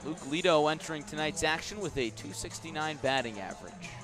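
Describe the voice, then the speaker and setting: A man's voice: on the high side, animated, brisk, music in the background. Man calling baseball play-by-play on radio. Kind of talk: live sports commentary.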